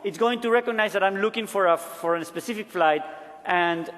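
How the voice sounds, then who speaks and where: High-voiced Peruvian man in a reverberant hall. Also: fairly quick, a bit bright. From Peru. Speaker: product demonstrator. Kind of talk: live product demo presentation. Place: large conference hall stage. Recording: echoey large conference hall.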